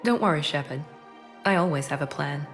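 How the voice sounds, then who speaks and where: A woman's voice: sits low, brisk, music in the background, natural voice. A woman playing a proud, guarded secret operative in a video game, speaking in a serious, controlled and earnest way. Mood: earnest, composed. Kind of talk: cinematic video game acting.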